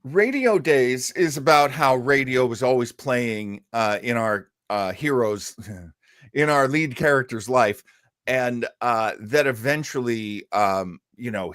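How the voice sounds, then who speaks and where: A man's voice: medium pitch, measured pace, a bit bright, no echo, studio quality. A man hosting a show, chatting casually and introducing old radio programs with jokey asides. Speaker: host.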